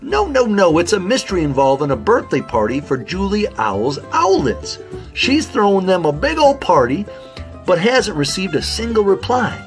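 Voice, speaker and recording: A man voicing an earnest, excitable raccoon detective running a case in a children's audio drama. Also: high voice, moderate pace, faint music behind. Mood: flustered. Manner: polite, businesslike, flustered when surprised.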